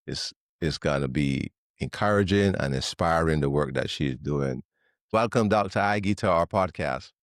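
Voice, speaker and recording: Deep-voiced male podcast host. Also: normal speed, dry recording, studio quality. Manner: friendly, with fillers. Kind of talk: podcast interview.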